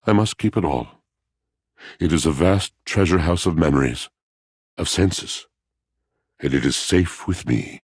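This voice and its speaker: An extremely deep, husky video game voice for a brooding, lovelorn washing machine. He declaims in flowery, poetic phrases like a tortured soul, theatrical and melodramatic. The recording is close and dry. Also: no echo, heavily acted. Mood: anguished, grandiose. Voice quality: very deep, gravelly.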